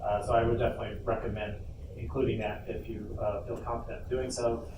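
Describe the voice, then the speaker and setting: A man hosting an informal convention workshop, speaking casually with hesitant pauses as he explains things to the room. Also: fairly low pitch, level tone. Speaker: panel host and presenter. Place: indoor convention panel room. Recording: roomy, distant mic, noisy convention room.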